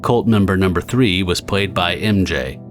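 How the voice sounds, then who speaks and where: A man's voice: low voice, moderate pace, over music. A man giving scripted, dramatic narration as the narrator of an audio drama.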